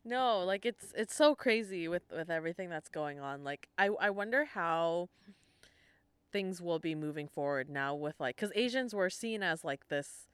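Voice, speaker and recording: A young woman co-hosting a casual podcast, her voice slightly low, smooth and resonant. She talks in a chatty, animated way and laughs often. The sound is very dry and close. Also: normal speed, no echo. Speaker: podcast co-host. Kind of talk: casual chat.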